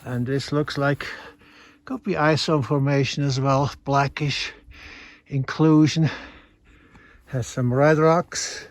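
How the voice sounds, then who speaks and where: A man with a Swiss accent, explaining geology outdoors on a mountain hike in a measured, teaching way. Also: mid-range voice, fairly even intonation, no echo. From Switzerland. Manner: measured explanatory, slightly non-native phrasing. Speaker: hiking geology guide. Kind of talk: outdoor geology field narration.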